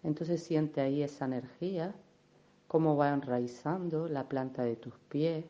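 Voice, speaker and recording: A woman leading a guided meditation in a gentle, unhurried, soothing manner, with affectionate little diminutives. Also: sits low, highs cut off. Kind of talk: guided meditation, soft instruction.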